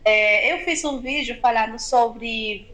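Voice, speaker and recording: A woman's voice: a little high, slow pace, a bit bright, rough recording. A woman from Venezuela with a Venezuelan accent, a native Spanish speaker, chatting casually and directly to her viewers as a video blogger. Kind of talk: casual chat to camera.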